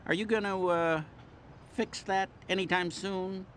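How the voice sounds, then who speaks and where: A man's voice: high voice, steady tone, unhurried, fairly bright tone, slight background noise. A man voicing a peevish yet gung-ho superhero sidekick in a cartoon game, grumbling and melodramatic. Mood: exasperated. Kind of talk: cartoon video game acting.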